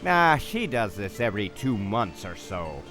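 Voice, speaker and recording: A man's voice: on the low side, very animated, unhurried, over music. A man playing a blustering, easily alarmed pirate captain with theatrical pirate talk in a comedy skit. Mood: settled.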